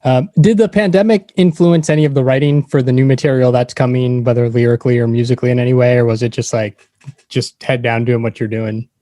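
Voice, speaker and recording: A man's voice: medium pitch, steady tone, fast, mellow, no echo. A man interviewing guests casually as a podcast host.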